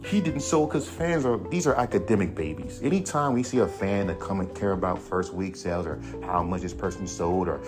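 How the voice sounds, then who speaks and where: A man in a casual podcast monologue, blunt and profane, talking in a fairly low, monotone voice. He rants with animated swings of emphasis. Music plays clearly underneath him. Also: brisk, few pauses. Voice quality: self-described monotone voice. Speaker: podcast host. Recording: music playing behind the voice.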